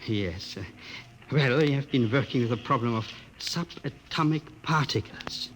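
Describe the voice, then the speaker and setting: An actor playing a gentle, earnest older male physicist with formal, careful phrasing, in a 1950s radio drama. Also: mid-range voice, moderate pace, background noise, natural voice.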